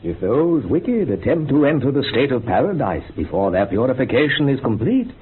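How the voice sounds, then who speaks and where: An actor playing a cryptic, pedantic occult experimenter in a radio drama, explaining things in a declamatory way. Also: male voice, mid-range voice, sing-song, moderate pace, lightly acted. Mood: ominous, knowing. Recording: noisy, phone-like old radio recording.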